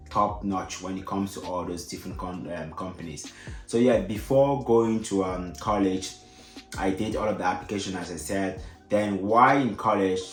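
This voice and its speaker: A young man with French as his first language, talking through advice in a loose, rambling way full of restarts and fillers. His voice sits very low. The room around him rings with echo, and a little music plays softly underneath. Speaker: vlogger. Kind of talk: casual advice vlog. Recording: echoey room with faint music.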